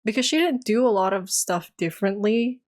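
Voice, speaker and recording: A young woman giving an animated, exasperated review of a TV series in a casual vlog, her voice tiring. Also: medium pitch, fairly quick. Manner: animated, exasperated, dramatic outbursts. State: voice getting tired.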